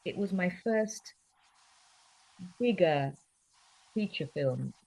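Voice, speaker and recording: Thoughtful low-voiced woman, Greek native. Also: animated, slow, gravelly, warm tone, low-quality recording. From Cyprus. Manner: thoughtful, hesitant, many fillers and restarts. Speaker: guest actress. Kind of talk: conversational interview.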